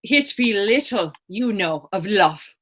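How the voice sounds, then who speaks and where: A woman's voice: medium pitch, lively intonation, slow pace, breathy edge, exaggerated voice. An actress playing a defiant, scornful woman in a stage drama, speaking in righteous, old-fashioned phrases. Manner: sharp, archaic, righteous phrasing. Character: defiant, scornful, pious-tongued woman accused of witchcraft. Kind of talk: stage readers theater drama.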